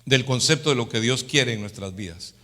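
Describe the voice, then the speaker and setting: A man's voice: medium pitch, moderate pace, pushed and bright. A man with a Latin American Spanish accent teaching a sermon as a pastor, in a didactic manner, often checking for agreement with short tag questions. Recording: long hall reverb.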